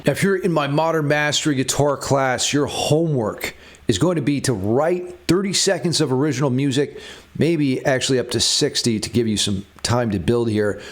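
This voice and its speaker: A man giving a casual music theory lesson as a guitar teacher. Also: medium pitch.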